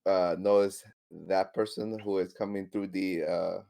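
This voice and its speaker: A man with a low voice speaks slowly as a player in a tabletop game. He casually recounts his character's part of the session. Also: little pitch movement, vocal fry, warm tone. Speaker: player in an online tabletop RPG.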